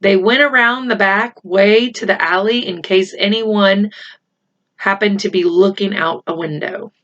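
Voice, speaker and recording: A woman reading a children's novel aloud in a deliberate storytelling manner. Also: mid-range voice, a bit bright. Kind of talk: read-aloud story narration with voiced dialogue. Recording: slightly dull, lo-fi home recording.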